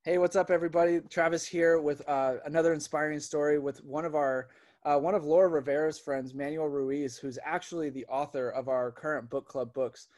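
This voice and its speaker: A man's voice: a little high, steady tone, fairly quick, a little mellow. A man in his thirties hosting an interview on a video call, casual and upbeat with fillers. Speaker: host. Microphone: webcam on a video call.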